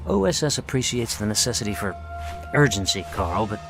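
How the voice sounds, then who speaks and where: A man playing a frank, wry American intelligence officer in a video game cutscene, with an American accent and a casual, measured manner. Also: lowish voice, big pitch swings, over music.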